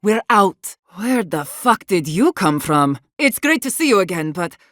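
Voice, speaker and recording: A woman's voice: medium pitch, sing-song, measured pace, a bit bright, dry recording, clean studio sound. An actress voicing an embittered, defiant woman warden leader in a video game, weary but fierce, speaking in a blunt, earnest way. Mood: blunt, urgent. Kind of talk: dramatic game dialogue.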